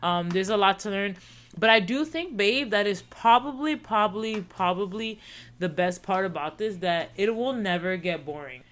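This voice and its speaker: Woman in her thirties, podcast co-host, casual earnest chat, repeats words, middle pitch. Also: lively intonation, moderate pace, a bit bright. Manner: earnest, reflective, repeats herself.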